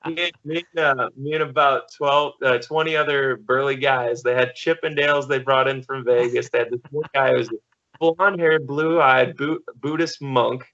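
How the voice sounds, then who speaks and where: A man's voice: mid-range voice, steady tone, moderate pace, a bit bright. An American man in his thirties telling stories in a casual, rambling way as an interview guest on a video call. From small-town Missouri, USA. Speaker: podcast guest, stuntman and actor. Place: living room at home, on video call. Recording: slightly dull video call audio.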